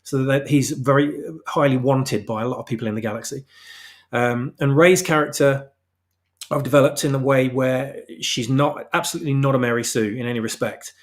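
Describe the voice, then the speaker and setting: A man's voice: medium pitch, fairly even intonation, normal speed. A man speaking as a guest author in a casual interview, explaining his work in an emphatic, opinionated way. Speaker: guest author of fan fiction. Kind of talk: casual interview chat about his own story.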